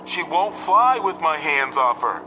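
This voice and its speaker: A very bright, forceful voice over music, a desperate pilot in a failing plane. He sounds strained and anxious, in tense radio drama style. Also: on the high side, big pitch swings, a little slow, heavily acted, low-quality recording. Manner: strained, anxious, faltering delivery.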